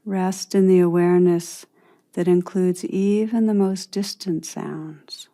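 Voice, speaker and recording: A woman narrating a guided meditation as a teacher, in a soothing, unhurried manner with long pauses between phrases. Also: on the low side, steady tone, dark tone.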